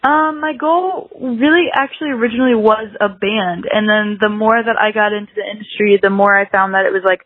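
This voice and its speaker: A woman's voice: mid-range voice, brisk, few pauses, dry recording, phone-quality sound. A woman in her early twenties from South Carolina with an American accent, talking casually as an interview guest, hedging and saying "like" very often. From South Carolina, United States. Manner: hedging, very frequent "like" filler. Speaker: podcast guest, musician.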